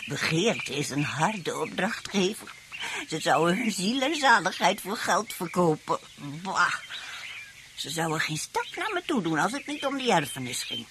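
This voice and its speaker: An actress playing a sly elderly widowed aunt in a Dutch radio play, genteel and drily mocking. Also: female voice, on the low side, big pitch swings, measured pace. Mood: dry scorn. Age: older. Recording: noisy radio play recording.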